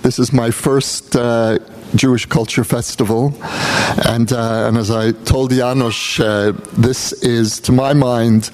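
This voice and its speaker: An American man speaks to an audience in a hall, his pitch in the middle range. He tells his story in a measured, personal way, with faint noise in the background. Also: a little mellow. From United States. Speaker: guest speaker. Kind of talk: public talk. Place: event hall.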